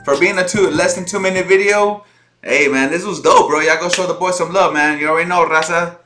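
A man's voice: on the high side, steady tone, fairly quick, bright, forceful tone, faint music behind. A man in his thirties with an American accent, giving casual, slangy, hyped reaction commentary as a channel host. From Florida, United States. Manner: informal, hyped, uses slang and repeated "bro".

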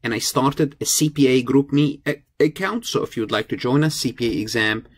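Male lecturer, mid-pitched and slightly rough-edged, explanatory, repeats key phrases. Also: normal speed. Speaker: accounting lecturer. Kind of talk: instructional screencast lecture.

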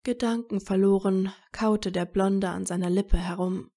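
A woman's voice: mid-range voice, steady tone, measured pace, mellow. A woman narrating a scripted fan fiction story as a storyteller.

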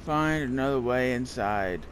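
A man gives casual commentary as he plays a video game, sometimes reading out text as he goes. His voice sits at an average pitch. A faint bed of game ambience runs behind him. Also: lively intonation, unhurried, a little hiss or hum. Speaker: gaming video host.

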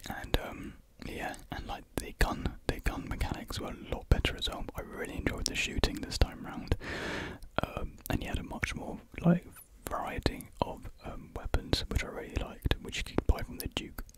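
A young man speaks in a breathy whisper close to the microphone, rambling softly and hesitantly in a casual ASMR chat. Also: moderate pace. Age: young adult. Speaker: ASMR video creator. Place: small room with painted block walls. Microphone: large desktop USB condenser microphone, close up.

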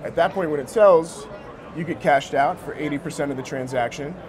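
A man's voice: a little high, brisk, crowd noise. An American man in his thirties answering interview questions casually, in informal, slangy speech. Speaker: company co-founder being interviewed. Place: sneaker shop showroom, indoors. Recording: clear background noise.

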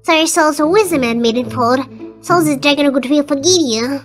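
A woman's voice: high voice, normal speed, soft background music, natural voice. A woman voicing a tiny, proud, old-fashioned dragon spirit in a comic dub, speaking formally and wordily. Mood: scolding. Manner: formal, pompous, wordy.

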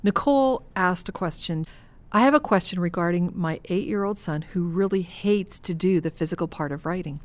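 A woman's voice: on the low side, moderate pace. A woman hosting a podcast in casual chat and reading out listener questions. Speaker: podcast host.

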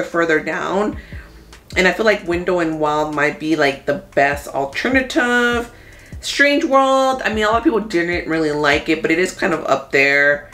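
A woman in her thirties talking casually as a movie vlogger, thinking out loud in an informal, conversational way. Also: medium pitch. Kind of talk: casual chatty commentary. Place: small room at home. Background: background music.

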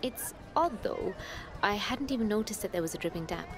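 A woman's voice: medium pitch, expressive, brisk, a faint crowd, close to an everyday voice. An actress playing a polite, enigmatic woman who is new to a village, in a radio soap. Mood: polite, knowing. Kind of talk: naturalistic radio soap acting.

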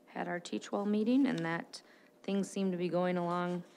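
A woman chairing a school board meeting, brisk and procedural, on a desk microphone in a boardroom. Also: fairly low pitch, steady tone, creaky, darkish tone, a little hiss or hum.

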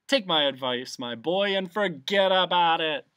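Casting: pompous old storybook humbug bug, acted by a narrator, boastful, forceful, pitched up and wildly animated. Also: unhurried, clean tone, no room sound, clean studio sound. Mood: pompous boasting. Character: blustering pompous old humbug bug, self-important fraud.

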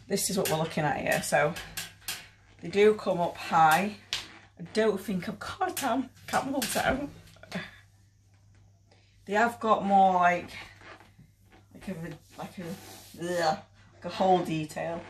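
A woman's voice: fairly low pitch, a bit bright, slight background noise. Chatty, sweary British woman. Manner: informal, sweary, rambling. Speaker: fitness clothing reviewer. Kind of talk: casual chatty try-on review. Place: small bedroom at home.

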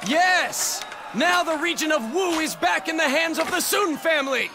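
An actor playing a cocky, hot-headed young warlord of ancient China in a dramatic video game dub. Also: male voice, extremely high pitch, animated, moderate pace, crowd noise, noisy background. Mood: triumphant. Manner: informal, cocky, eager.

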